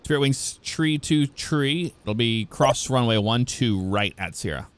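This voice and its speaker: A man's voice: medium pitch, animated, measured pace. A man working as a ground controller, giving clipped, procedural air traffic control instructions. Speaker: air traffic ground controller in an online flight simulation network.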